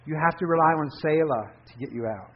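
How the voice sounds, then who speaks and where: A man's voice: a little high, a little fast, natural voice. A man voicing people on a riverbank who urgently warn and insist. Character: urgent, warning people on a high bank. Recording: dull lo-fi recording.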